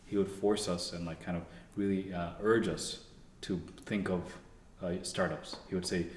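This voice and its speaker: A young man from Canada, a guest engineer, talking in a relaxed, rambling way with many fillers and restarts. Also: low voice, normal speed. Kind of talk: casual interview conversation. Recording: echoey room.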